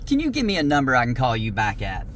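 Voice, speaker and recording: A man's voice: medium pitch, huge pitch swings, quick pace, fairly bright tone, slight background noise. A man taking a phone call, questioning the caller in a wary, probing way. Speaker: person receiving a scam call.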